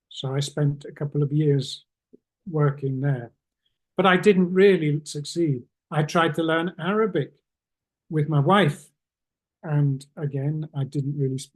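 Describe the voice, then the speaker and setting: A man teaching an online English class, asking slow, encouraging questions with many pauses. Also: on the high side, a little mellow, rough recording.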